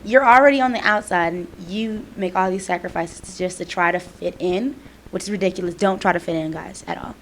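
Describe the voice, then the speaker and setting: A young woman co-hosting a student podcast in casual chat, emphatic and animated, full of "like" fillers. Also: on the low side, fairly quick. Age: young adult. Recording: faint background noise.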